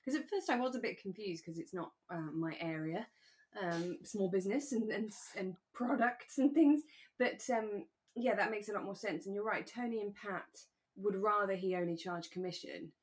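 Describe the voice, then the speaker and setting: A woman's voice: medium pitch, moderate pace. A woman co-hosting a podcast in casual conversation, reasoning aloud as she talks. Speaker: podcast co-host. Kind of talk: casual chat about a radio drama.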